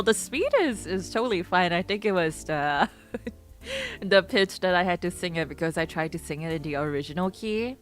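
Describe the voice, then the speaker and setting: Giggly low-voiced woman streamer. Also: fairly bright tone. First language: not Japanese. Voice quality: lower voice, prefers low register. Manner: chatty, giggly, switches between English and Japanese. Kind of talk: casual chat stream. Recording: faint music behind.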